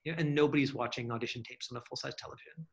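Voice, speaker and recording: A man's voice: medium pitch, very fast. A man leading a casual online class as an acting teacher, thinking aloud in a rambling, hedging way as he answers questions.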